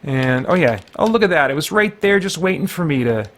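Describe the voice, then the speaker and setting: A man's voice: a little high, animated, fairly quick. A middle-aged man giving casual, playful gameplay commentary as a host, rambling and thinking aloud as he goes. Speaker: host of a video series. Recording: video game music behind the voice. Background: retro video game music.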